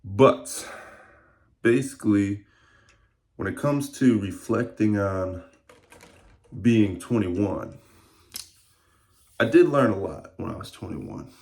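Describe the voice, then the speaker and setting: Young man, early twenties, casual vlog, low gravelly voice, rambling reflective tone. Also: expressive, slow, long pauses, darkish tone. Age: 20s. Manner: rambling, reflective, many fillers. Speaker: fitness vlogger. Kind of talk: casual vlog talk to camera.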